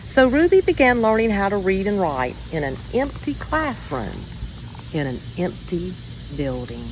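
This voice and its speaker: A woman reading a children's story aloud in a measured, expressive way with deliberate pauses. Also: mid-range voice, noisy background. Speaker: storybook reader.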